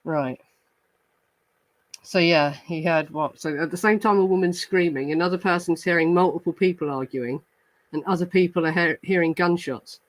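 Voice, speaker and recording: A man's voice: fairly high pitch, moderate pace, no room sound, low-quality recording. Chatty man thinking aloud on a podcast. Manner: chatty, thinking aloud with many fillers and restarts; reads police report passages aloud. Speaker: true crime podcast host. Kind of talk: casual chat reacting to live comments.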